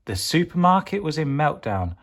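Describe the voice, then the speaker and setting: A man narrating a children's storybook as a storyteller, in a dramatic, theatrical and expressive style. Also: fairly high pitch, normal speed.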